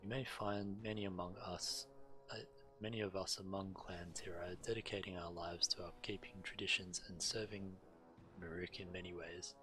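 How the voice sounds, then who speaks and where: Deep-voiced male game streamer reading aloud. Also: steady tone, moderate pace, sharp tone, poor audio. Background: game music.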